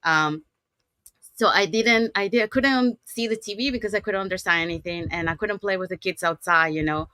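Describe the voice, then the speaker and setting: A woman's voice: mid-range voice, fairly quick, pushed and bright, dry recording. A woman from Panama, a native Spanish speaker with a Panamanian accent, talking casually as the host of an interview. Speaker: show host.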